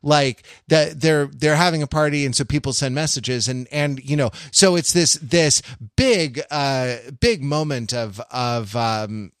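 A man hosting a podcast in casual analytical discussion, halting and repeating phrases as he thinks. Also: mid-range voice, clean tone, dry recording, clean studio sound. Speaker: podcast host.

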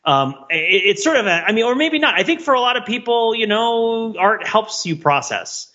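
A man's voice: extremely high pitch, sing-song, moderate pace, sharp tone, lo-fi sound. A man co-hosting a podcast in casual discussion, analytical and wordy with a joking streak. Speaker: podcast co-host.

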